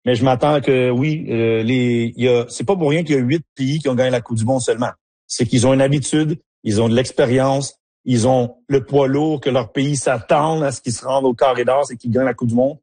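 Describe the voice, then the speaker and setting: A man's voice: mid-range voice, fairly even intonation, brisk, a little mellow, husky, dry recording. A man, a former player turned soccer analyst, answering interview questions with frequent fillers and restarts. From Haiti. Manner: hesitant, many fillers and restarts.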